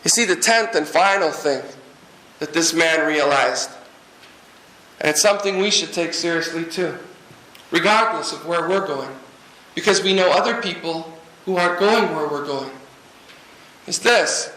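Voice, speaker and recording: A man from Guyana preaches in a lively way, mixing jokes and asides into his sermon. His voice sits high and bright. It rings through an echoey church hall. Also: a little slow, long pauses. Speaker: pastor.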